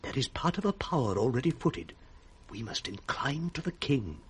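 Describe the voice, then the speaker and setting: A man's voice: medium pitch, big pitch swings, moderate pace, close to an everyday voice. Actor as anxious old loyal nobleman. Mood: secretive, resolute. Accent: British. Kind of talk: 1960s British radio Shakespeare acting. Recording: faintly noisy 1960s radio recording.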